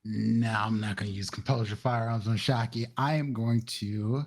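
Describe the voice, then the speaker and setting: A man's voice: lowish voice, fairly even intonation, moderate pace. A man playing a tabletop roleplaying game, talking casually about his moves.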